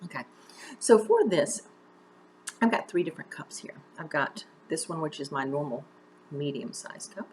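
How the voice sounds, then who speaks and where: A woman with a notably low voice leads a video lesson as a drama teacher. She talks conversationally and encouragingly, guiding the learner with direct questions. There is faint ambient noise in the home recording. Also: expressive, normal speed, darkish tone. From United States. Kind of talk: instructional video mini-lesson.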